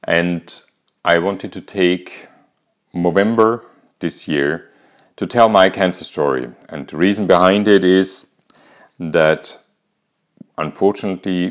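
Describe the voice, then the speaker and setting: A man in his fifties with an Austrian accent, hosting a podcast and calmly telling a candid personal story. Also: low-pitched, slow. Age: 50s. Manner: calm, reflective, candid. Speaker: podcast host.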